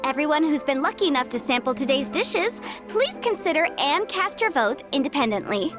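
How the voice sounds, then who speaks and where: A woman's voice: sits high, very animated, brisk, over music. An actress playing a courteous, earnest young woman in a video game cutscene. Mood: formal, courteous.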